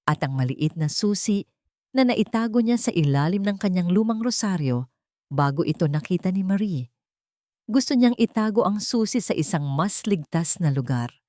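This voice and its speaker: A woman's voice: lowish voice, mellow, dry recording, studio quality. A woman storyteller reading a scripted dramatic story.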